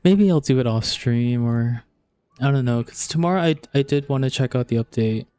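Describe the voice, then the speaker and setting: A man's voice: mid-range voice, normal speed, mellow, clean tone, no echo. A man streaming a video game and chatting casually as he plays. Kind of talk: casual chat while playing a game.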